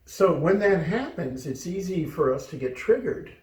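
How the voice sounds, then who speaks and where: A man's voice: a little high, expressive, moderate pace, a little mellow. A man from the United States, co-hosting a casual chat show, joking and telling stories in a reflective way. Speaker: co-host. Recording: roomy sound, distant mic.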